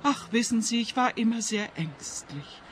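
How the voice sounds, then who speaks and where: A woman's voice: on the high side, expressive, a little slow, slight background noise. An actress playing an older woman scientist in a radio play, anxious, obsessive and stubbornly defensive, speaking plaintively. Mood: anxious, earnest.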